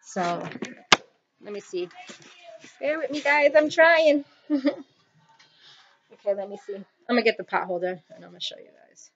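A woman's voice: sits high, expressive, moderate pace, long pauses. A woman in her thirties giving a casual, chatty home cooking vlog, talking viewers through each step while her hands are busy. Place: home kitchen, indoors. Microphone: built-in camera or phone mic within arm's reach. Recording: dull lo-fi audio, loud noise, clicking.

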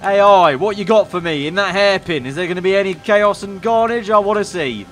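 A young man commentating a racing game, excitable and slightly hoarse, breaking into shouts at crashes. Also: high voice, a little fast, faint music behind. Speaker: gaming YouTuber.

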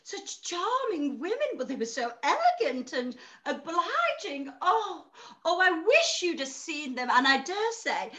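An excitable Regency mother with an English accent, her voice high and swooping. She gushes and frets in period-drama style, drawing her words out slowly. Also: exaggerated voice. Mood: gushing, excited. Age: middle-aged. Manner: effusive, breathless exclamations, drawn-out words. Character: excitable, gushing, indignant middle-aged mother, Regency gentlewoman. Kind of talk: theatrical period drama read-through. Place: room at home, on a video call.

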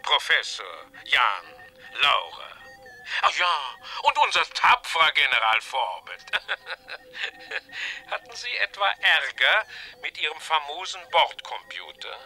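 An actor playing a gloating mad scientist villain in a science-fiction audio drama, theatrical and mock-polite, sneering and drawing out words. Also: male voice, fairly high pitch, huge pitch swings, takes it slowly, pushed and bright, soft background music, full character voice. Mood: mocking welcome.

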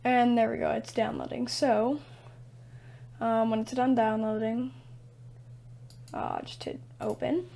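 A woman presenting a casual software tutorial in a halting, hesitant way. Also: on the high side, flat delivery, vocal fry, slight background noise.

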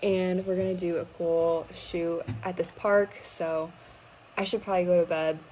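A woman's voice: fairly low pitch, level tone, moderate pace, a little mellow, slight background noise, low-quality recording. A woman in her twenties talking casually and chattily in a personal weekend vlog, filmed at arm's length. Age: 20s. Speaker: vlogger and model. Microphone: camera at arm's length.

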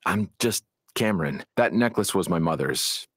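A sincere awkward spiritual guy in love, game cutscene, lively pitch, very dry sound. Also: normal speed, husky, dry recording. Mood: tender. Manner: sincere, slightly flustered. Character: earnest, awkward male spiritual guide in love. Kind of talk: video game cutscene acting.

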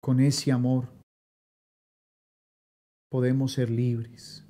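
A man in his thirties, a priest leading Mass and adoration prayers slowly and solemnly in a small chapel. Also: mid-range voice, level tone, dark tone. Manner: slow, solemn liturgical delivery.

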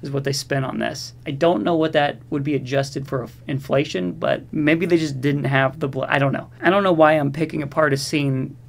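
A man with a voice slightly on the high side talks through a movie review very casually. He rambles in loose, slangy phrases and keeps a wry, sarcastic edge, as a host chatting to viewers. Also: steady tone, normal speed, vocal fry, few pauses.

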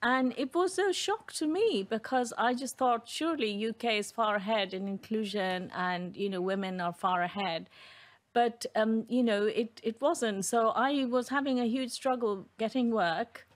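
A woman's voice: on the high side, unhurried. A woman in her fifties from India, an architect telling her story as a radio guest at a studio mic. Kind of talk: panel interview answer. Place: room set up for broadcast. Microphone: studio mic, headphones.

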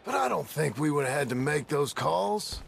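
An actor playing a gruff, weary outlaw in a Western video game, with a gravelly American drawl and a terse, dry delivery. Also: male voice, on the high side, animated, moderate pace, soft background music. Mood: brooding.

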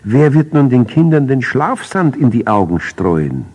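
An older man voicing a worried gnome in a bedtime story, wailing and agitated with a husky voice. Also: medium pitch, very animated, unhurried, darkish tone, in a large hall, pitched above the performer's own voice.

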